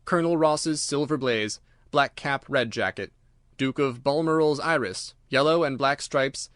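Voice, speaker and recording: A man's voice: mid-range voice, measured pace, a bit bright, dry recording, clean studio sound. A man reading scripted literary narration as an audiobook narrator.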